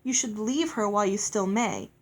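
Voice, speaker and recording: A woman's voice: medium pitch, moderate pace, natural voice. A woman voicing a protective, wry female companion of a fairy in a storybook, firm and cautioning. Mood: warning.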